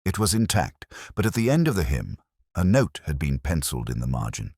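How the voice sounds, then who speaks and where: Very deep-voiced man narrating a mystery. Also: sing-song, darkish tone, no room sound, clean studio sound. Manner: measured, reflective, literary delivery. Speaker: narrator of a detective story. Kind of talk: scripted first-person mystery narration.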